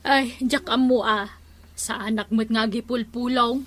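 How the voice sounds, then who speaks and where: An actress playing a sharp, complaining village woman in a comedic radio drama, nagging and exclamatory. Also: fairly high pitch, fairly even intonation, a little slow.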